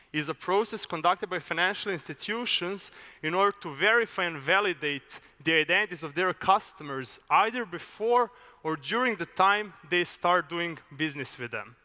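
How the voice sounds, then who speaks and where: A young man from Serbia, giving a measured, explanatory conference talk with a few filler uhs. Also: sits high, pushed and bright, clean tone. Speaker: conference speaker. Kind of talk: conference presentation. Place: large conference hall. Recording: reverberant hall.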